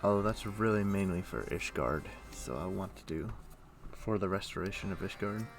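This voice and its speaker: A man livestreaming a game, chatting casually and thinking aloud in a rambling way, with a rough morning voice. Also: deep voice, moderate pace, music in the background. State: rough morning voice, throat clearing. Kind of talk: casual chat while playing an online game.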